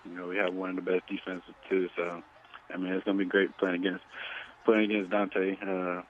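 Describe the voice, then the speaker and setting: A man in his twenties, a football player, giving a casual interview by telephone in halting, hesitant phrases. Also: low voice, flat delivery, a little hiss or hum. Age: 20s. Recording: tinny, band-limited phone line.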